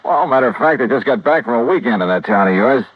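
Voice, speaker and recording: A man's voice: medium pitch, expressive, quick pace, husky, dry recording. An American man acting a tough, clipped insurance investigator in a 1950s radio noir drama. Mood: breezy. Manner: clipped, hard-boiled, impatient. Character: tough, brisk freelance insurance investigator, grieving a friend. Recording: dull old radio recording, music behind.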